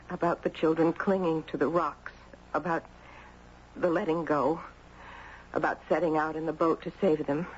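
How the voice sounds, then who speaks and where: An actress playing a guilt-ridden, grieving middle-aged mother in halting, confessional 1970s radio drama style. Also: on the low side, darkish tone, lightly acted. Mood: sorrowful. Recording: muffled, noisy 1970s radio recording.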